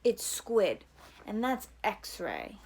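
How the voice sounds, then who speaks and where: A woman's voice: mid-range voice, wildly swooping pitch, extremely slow, breathy edge, exaggerated voice. A woman voicing a tough teenage camp boy in a storybook reading, curt, slangy and defiant.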